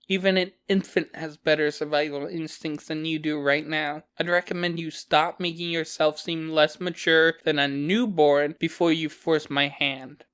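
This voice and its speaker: A man's voice: a little high, a bit bright, clear, resonant tone, natural voice. A young man voicing a taunting, possessive, sadistic femboy kidnapper in an ASMR roleplay, with a feminine-sounding voice and mocking, condescending baby-talk. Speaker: voice actor. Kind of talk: ASMR roleplay character acting.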